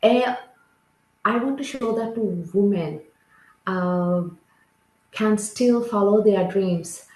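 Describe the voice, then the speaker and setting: Slow-speaking woman from Sri Lanka, echoey call. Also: mid-range voice, darkish tone. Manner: slow, searching, with non-native grammar. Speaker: guest. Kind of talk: casual interview answers.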